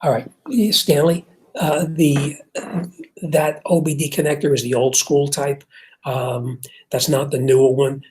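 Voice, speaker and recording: Husky New Jersey man talking shop. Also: a little high, level tone, measured pace, a little mellow, rough recording. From Jersey City, New Jersey. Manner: conversational, reads chat comments aloud, frequent fillers and restarts. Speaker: host and auto mechanic answering viewer questions. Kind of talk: casual livestream chat.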